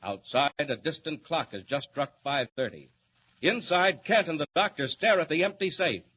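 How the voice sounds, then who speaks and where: A man's voice: a little high, dull audio. A man narrating a 1940s radio serial in a suspenseful, theatrical style. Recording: noisy, band-limited old radio recording.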